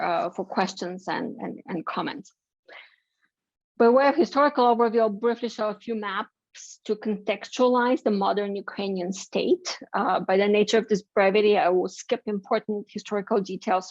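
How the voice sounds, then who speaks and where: A woman's voice: mid-range voice, fairly even intonation, no echo, highs cut off. Bulgarian-born woman lecturing over a video call. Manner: measured, many fillers and restarts. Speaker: guest lecturer, political science professor. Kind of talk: academic presentation.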